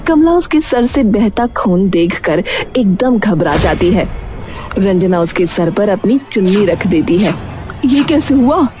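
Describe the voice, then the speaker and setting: A woman's voice: medium pitch, animated, unhurried, warm tone. A woman narrating a cartoon moral tale as a storyteller, in a scripted style. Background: music.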